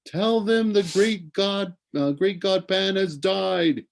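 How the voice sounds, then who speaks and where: A young male satyr from a storybook, as a man reads him aloud. He is earnest and nature-loving, quick to take offence. He lectures in a plaintive, heartfelt way. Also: high voice, gravelly, voice pushed up, exaggerated voice. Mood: solemn.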